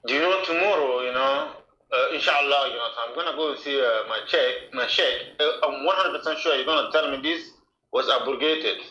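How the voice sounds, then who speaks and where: Caller, male, 30s; defensive and worked up, sharp voice over a roomy line. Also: medium pitch, brisk, muffled recording. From France. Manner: agitated, defensive, filler-heavy. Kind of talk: heated call-in debate. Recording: roomy call audio, faint noise.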